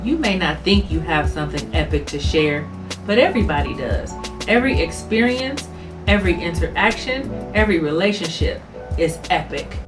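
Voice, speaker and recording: A woman host giving a slow, deliberate welcome as the show's intro. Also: fairly low pitch, lively intonation. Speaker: podcast host. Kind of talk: recorded show intro. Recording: distant voice over guitar music.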